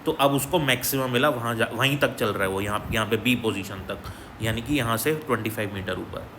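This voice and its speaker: A man's voice: mid-range voice, fairly quick, background noise. A male physics teacher working through an exam problem step by step in a patient, explanatory way, often checking in with his listeners. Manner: explanatory, repeats "ठीक है" as a check-in.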